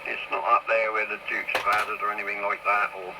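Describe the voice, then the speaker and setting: A man's voice: lowish voice, flat delivery, brisk. A man chatting casually over CB radio. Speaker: CB radio hobbyist. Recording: noisy, crackly CB radio.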